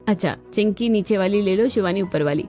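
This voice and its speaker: A woman voicing a calm, practical mother-in-law in a cartoon family story. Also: medium pitch, fairly quick, lightly acted. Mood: firm. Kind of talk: Hindi cartoon story voice acting. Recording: dull lo-fi audio over music.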